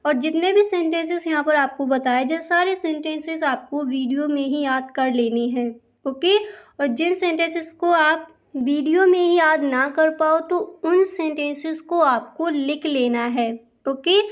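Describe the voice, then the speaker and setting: An Indian woman teaching spoken English, slowly repeating each example sentence. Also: high-pitched. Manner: slow, deliberate repetition of example sentences. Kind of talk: slow spoken-English lesson.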